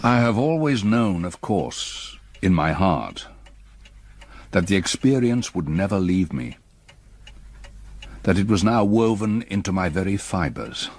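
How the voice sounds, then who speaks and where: An actor playing a middle-aged, haunted and reserved English gentleman stepfather in a radio drama, with a husky voice and formal, hesitant manner. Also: low voice, sing-song, measured pace, long pauses, heavily acted. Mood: haunted dread.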